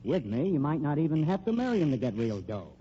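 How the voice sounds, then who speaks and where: A man's voice: on the high side, big pitch swings, quick pace, warm tone. An actor playing a slick, menacing American private detective and con man in an old radio crime drama, wisecracking and pushy in tough-guy slang. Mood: pushy, scheming. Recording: dull old radio recording, faint noise.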